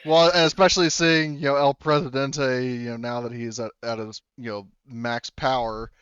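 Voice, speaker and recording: A man's voice: mid-range voice, flat delivery, normal speed, fairly bright tone, smooth, no room sound. A man speaking casually as game master of a tabletop game, explaining things with hesitant asides. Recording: muffled, slightly lo-fi voice chat mic.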